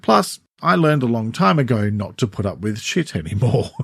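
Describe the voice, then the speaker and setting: A man's voice: medium pitch, big pitch swings, moderate pace, creaky, a bit bright, slightly rough, dry recording. Middle-aged Australian man, dry close-mic podcast voice. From Sydney, Australia. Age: 40s or 50s. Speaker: podcast host, mental health counsellor. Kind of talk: scripted solo podcast narration, conversational.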